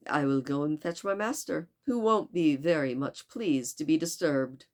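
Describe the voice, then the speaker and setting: A woman's voice: deep voice, moderate pace, a little mellow, close to an everyday voice. A woman voicing a courteous, deferential French manservant from a storybook, with an attempted French accent and a congested nose. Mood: polite.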